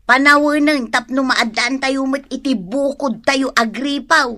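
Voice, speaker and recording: A woman with an Ilocano accent acting a firm, caring, gently scolding younger sister in a radio drama. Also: sits high, unhurried. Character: dutiful younger sister back from work abroad, firm and caring.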